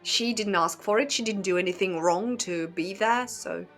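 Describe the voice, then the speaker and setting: A woman's voice: medium pitch, normal speed, a bit bright, faint music behind. A woman giving casual commentary to viewers as a host, talking in a hesitant, wry way with rhetorical asides. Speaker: host of a book and fairy tale commentary channel.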